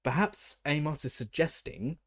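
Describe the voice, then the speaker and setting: A man's voice: fairly high pitch, sing-song, normal speed, no echo, studio quality, pitched above the performer's own voice. A man doing a coldly furious senior official. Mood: cold anger. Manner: icy, clipped, indignant. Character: cold, furious senior male ministry official.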